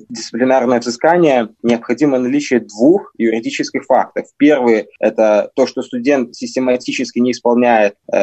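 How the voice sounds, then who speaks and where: A man's voice: mid-range voice, mellow, no room sound. A man talking as a legal expert, explaining things methodically in formal legal terms. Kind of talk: explanatory commentary.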